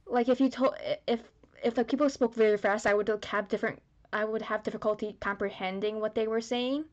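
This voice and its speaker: A woman's voice: a little high, steady tone, fairly quick, highs cut off. A young woman in a casual podcast chat, hesitant and correcting herself as she goes. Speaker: podcast co-host.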